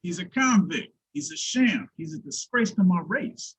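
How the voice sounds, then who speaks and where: A man's voice: high voice, expressive, normal speed, slight background noise. A man playing a contemptuous, villainous prison officer in a script table read.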